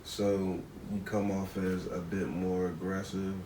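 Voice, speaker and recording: A man makes blunt, matter-of-fact points in a podcast chat. His voice is very deep and stays flat. He sits away from the mic in an echoing room with noise audible behind him. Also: unhurried. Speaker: podcast co-host. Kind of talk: casual chat. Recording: echoey room, mic far off, background noise.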